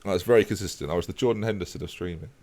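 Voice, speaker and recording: A man's voice: deep voice, rapid-fire. A man casually chatting with his live-stream viewers while playing a football management game. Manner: relaxed, chatty, talks to chat while playing.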